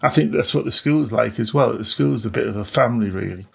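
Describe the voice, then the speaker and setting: A man's voice: medium pitch, brisk, creaky, breathy edge. A middle-aged or older man, a teacher, giving casual, reflective interview answers in a hesitant, self-effacing way. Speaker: maths teacher.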